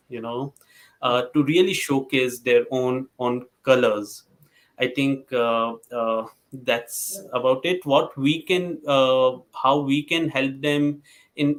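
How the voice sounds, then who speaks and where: A man from India shares his views in an earnest panel discussion. He speaks at a middle pitch and often pauses and searches for his words as he reflects. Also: poor audio. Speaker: parent panelist.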